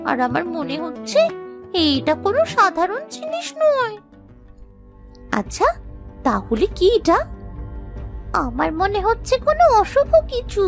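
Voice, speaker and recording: A woman acts out an excitable young village girl in a children's cartoon. The voice is extremely high and swings widely in pitch, exclamatory and theatrical, with music playing clearly behind it. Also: unhurried, pauses often, heavily acted. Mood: worried, pleading. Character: young village girls, excitable and squabbling sisters. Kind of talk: children's moral-story cartoon acting.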